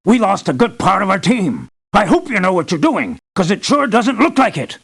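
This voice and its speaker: An actor playing a blunt, anxious and demanding boss who hires mercenaries in a video game, speaking in an emphatic, articulate, businesslike way. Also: male voice, high-pitched, sing-song, moderate pace, gravelly, fairly bright tone, breathy edge, full character voice. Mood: exasperated. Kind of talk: video game character voice lines.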